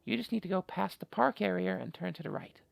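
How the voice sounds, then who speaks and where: A gamer voicing a creaky, wry horror-game heroine. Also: medium pitch, very animated, quick pace, dry recording. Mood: wary, resolved. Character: Eve, female game protagonist, wry and self-reproaching narrator. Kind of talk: dramatic reading of visual novel narration.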